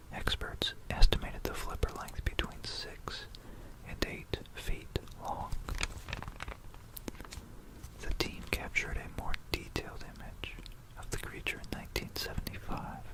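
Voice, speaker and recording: An ASMR presenter narrating history in a whisper, musing aloud between passages, close to a stereo microphone. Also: poor audio. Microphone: close stereo binaural microphone.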